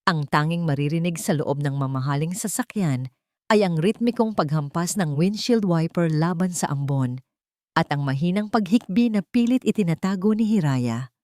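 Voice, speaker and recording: A woman's voice: lowish voice, lively intonation, moderate pace, mellow, dry recording, studio quality. A Filipino woman reading a scripted dramatic story as its narrator.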